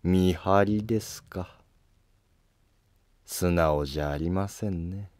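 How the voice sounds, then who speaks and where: Man voicing a slow, low-voiced scheming court noble. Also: no room sound, clean studio sound. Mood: cool, calculating. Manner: formal, calm, deliberate. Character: scheming, coolly polite male Heian court noble.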